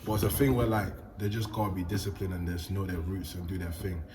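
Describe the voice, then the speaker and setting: Deep-voiced young man. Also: quick pace, noisy background. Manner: laid-back slangy talk, animated bursts. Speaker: host. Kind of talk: casual interview chat.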